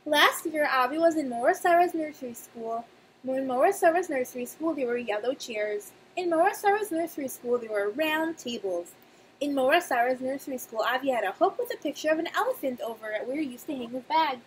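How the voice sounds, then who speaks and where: A young woman, a nursery teacher, reading aloud and talking to young children in simple, expressive speech with emphatic pauses. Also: high voice, low-quality recording. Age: young adult. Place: small indoor room. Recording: clear background noise, webcam-style room recording.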